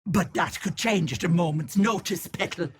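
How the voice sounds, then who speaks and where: A woman playing a sly old hag witch in a video game, with an Irish accent and a husky, raspy voice that wheedles sweetly and turns menacing. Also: on the low side, moderate pace. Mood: sweetly wheedling. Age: older. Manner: wheedling endearments turning to menace. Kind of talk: video game character acting.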